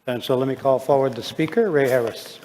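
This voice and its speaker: A man's voice: medium pitch, fairly quick, darkish tone, a little hiss or hum. Polite man chairing an assembly, hall echo. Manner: polite and procedural. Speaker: meeting chair.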